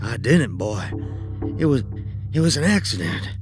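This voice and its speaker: A man playing a frightened, guilt-ridden dog owner in a horror story, pleading in strained, drawn-out cries. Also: medium pitch, big pitch swings, music in the background. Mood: desperate pleading.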